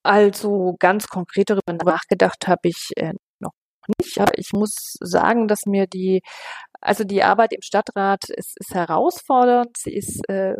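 A woman's voice: mid-range voice, fairly even intonation, moderate pace, no echo. A woman in her fifties from western Germany, speaking as an interview guest in a thoughtful, conversational way and searching for her wording. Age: 50s. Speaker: interview guest, local politician.

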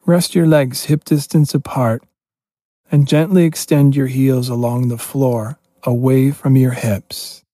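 A man leading a guided meditation as a yoga teacher, giving gentle, spacious instructions with pauses. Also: medium pitch, fairly even intonation, dry recording, clean studio sound.

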